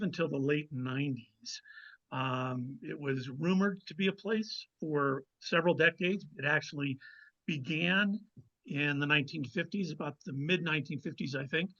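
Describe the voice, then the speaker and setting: A man speaking as a guest author in a conversational interview, explaining things in a measured way with pauses to find his words. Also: fairly high pitch, sharp tone, highs cut off. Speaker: guest novelist.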